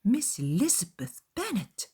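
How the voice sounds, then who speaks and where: A woman's voice: medium pitch, wildly swooping pitch, unhurried, warm tone, husky, pitched above the performer's own voice, exaggerated voice. A woman voicing a haughty, gossipy young society lady, arch and disdainful, in an audiobook drama. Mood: mocking astonishment.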